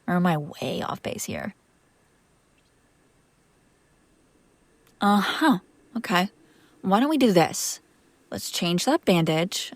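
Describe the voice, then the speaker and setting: A woman's voice: lowish voice, no echo. A woman playing a female vampire master, an exasperated but protective caretaker, in a conversational, sardonic audio roleplay. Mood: wry, challenging. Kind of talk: intimate audio roleplay acting, one side of a conversation.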